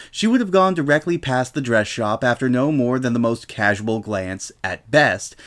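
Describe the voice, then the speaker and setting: A man with a mid-range voice narrates a comedic story aloud. He delivers it as a dramatic reading, in the role of storyteller. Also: mid-range voice.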